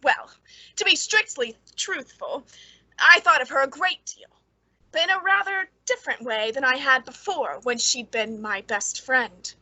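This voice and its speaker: Actress as nervous, high-voiced woman in radio suspense. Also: sharp tone, no room sound, heavily acted, rough recording. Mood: brooding narration. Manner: hesitant, halting, pleading. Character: Anna, nervous, fearful, secretly bitter reclusive woman. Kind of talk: old-time radio suspense acting.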